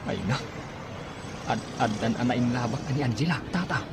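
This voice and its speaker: An actor with an Ilocano accent playing a lovestruck, pleading young tricycle driver in a radio drama. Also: male voice, mid-range voice, brisk, background noise, lightly acted. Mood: smitten.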